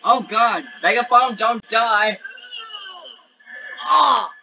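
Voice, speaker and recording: A man's voice: very high voice, very slow, creaky. A man hosting a casual reaction video, gasping and exclaiming often as he comments on what he watches. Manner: excitable, frequent gasps and exclamations. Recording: dull lo-fi sound with loud music behind.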